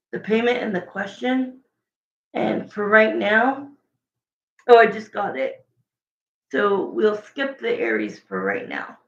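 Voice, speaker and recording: A woman with a raspy voice doing a casual livestream tarot reading, chatting and thinking aloud between viewer questions. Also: mid-range voice. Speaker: tarot reader. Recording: echoey, dull lo-fi room sound.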